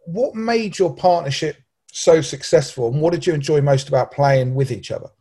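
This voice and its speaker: A man's voice: on the high side, moderate pace, mellow, breathy edge. A man hosting a podcast, asking questions eagerly and enthusiastically. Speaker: podcast host. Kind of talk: interviewing.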